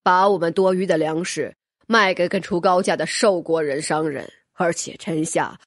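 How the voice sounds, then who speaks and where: An actor playing a scheming, obsequious court minister in a fantasy audiobook, speaking in formal, wordy, deferential phrases. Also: male voice, fairly high pitch, fairly even intonation, moderate pace, a bit bright, no room sound, clean studio sound. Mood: deferential, ingratiating. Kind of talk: audiobook character acting.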